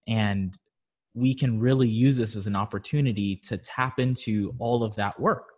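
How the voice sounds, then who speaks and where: A man from Barbados, a guest on a casual chat show, telling stories in a relaxed way and laughing often, speaking into his own microphone. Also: on the low side, normal speed, husky.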